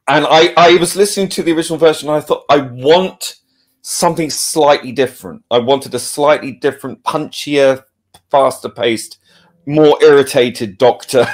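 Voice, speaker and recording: A man chats casually as an interview guest. He speaks haltingly and with some self-deprecation, in a middle-pitched voice, chuckling as he goes. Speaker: audio drama producer and director, podcast guest.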